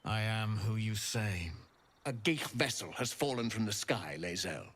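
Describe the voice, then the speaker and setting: An actor playing a merciless, scornful Githyanki knight commander in a fantasy video game, speaking in a commanding, theatrical way. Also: male voice, fairly low pitch, lively intonation, measured pace, a bit bright, breathy edge, heavily acted.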